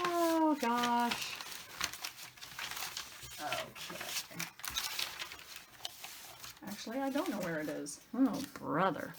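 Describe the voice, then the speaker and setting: Craft tutorial host: woman, unhurried delivery, gravelly fry, effusive and chatty, thinking aloud, some background noise. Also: lowish voice, very animated. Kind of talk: casual chatty craft demonstration.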